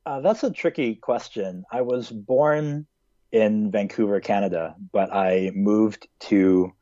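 Low-voiced young man, hesitant. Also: slow, mellow, no echo, lo-fi sound. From Hong Kong. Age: 20s. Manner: hesitant, many fillers and restarts. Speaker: interviewee. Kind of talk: casual interview conversation.

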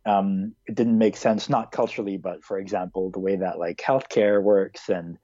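A man's voice: deep voice, level tone, normal speed, mellow, no room sound, muffled recording. A man in his twenties who grew up in Hong Kong, answering interview questions in a casual, hesitant way with many fillers. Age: 20s.

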